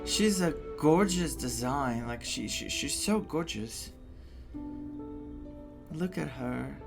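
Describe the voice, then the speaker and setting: Slow gravelly streamer reacting to a game. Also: mid-range voice, animated, over music. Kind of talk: casual live gameplay reaction.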